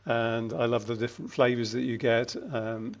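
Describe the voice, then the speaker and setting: Older British businessman interviewee, measured and unhurried, slightly muffled recording. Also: medium pitch, fairly even intonation. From United Kingdom. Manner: measured, frequent ums and self-restarts. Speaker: interviewee, regional executive. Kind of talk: casual interview.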